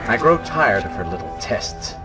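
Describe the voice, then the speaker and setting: An actor playing a dark, malevolent inner spirit in a video game, with a sardonic, sinister drawl. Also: male voice, on the low side, very animated, moderate pace, over music. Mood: weary contempt. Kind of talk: dramatic video game acting.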